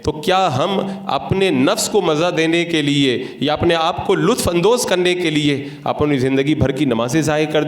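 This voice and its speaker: Echoey higher-pitched Indian male preacher. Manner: didactic, argumentative, rhetorical questions. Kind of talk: Friday sermon in a mosque. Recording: echoey, reverberant room sound.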